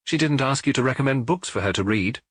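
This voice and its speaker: A man's voice: mid-range voice, expressive, fast, no room sound, studio quality, lightly acted. A man voicing a French police detective who asks brief, polite, probing questions in an audiobook. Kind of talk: audiobook character dialogue.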